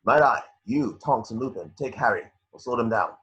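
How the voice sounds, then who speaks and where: Guy reading steady wizard guard, really husky, dull lo-fi audio. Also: medium pitch, normal speed. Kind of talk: amateur online script table read.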